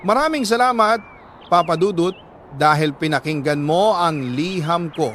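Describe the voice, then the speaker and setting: A man with a Filipino accent reads a letter as a storytelling host, earnest and sentimental, pausing deliberately between phrases. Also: on the high side, expressive, a bit bright, slight background noise. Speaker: radio storytelling host reading a listener's letter. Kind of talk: dramatic first-person letter narration.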